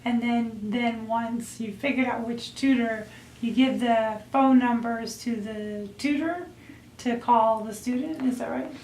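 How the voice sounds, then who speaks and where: A woman's voice: on the high side, steady tone, measured pace, darkish tone. A woman interviewing someone, hesitantly checking her understanding with questions. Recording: echoey room, faint noise.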